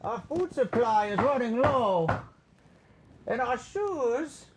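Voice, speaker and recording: Woman as slow, glum, complaining strolling player. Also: a little high, animated. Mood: glum complaint. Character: glum, scornful member of a penniless acting troupe. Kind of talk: theatrical stage acting. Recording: noisy stage-play recording with clicks.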